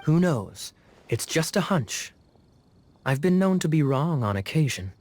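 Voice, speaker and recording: A man's voice: a little high, very animated, measured pace, darkish tone, dry recording. A man voicing a charming, teasing young knight captain with a playful, knowing manner, in video game acting. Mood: sly.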